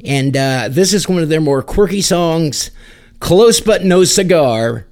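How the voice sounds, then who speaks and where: A man's voice: fairly high pitch, lively intonation, measured pace, noisy background. A man hosting a music podcast in a casual, wry, joking and self-deprecating way. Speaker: podcast host. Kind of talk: casual music radio chat.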